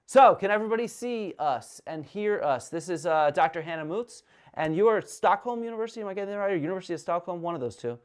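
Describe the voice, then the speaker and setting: A man hosting a casual interview over a video call, chatty and quick to laugh, often stumbling and restarting his sentences. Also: high-pitched, animated, clean tone. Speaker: host.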